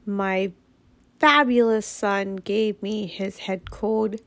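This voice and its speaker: Congested woman talking slowly and bluntly. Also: mid-range voice, steady tone, a bit bright, no room sound. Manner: blunt, frank, sprinkled with swearing. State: congested from a head cold, nasal, sniffling. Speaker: podcast host. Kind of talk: casual monologue.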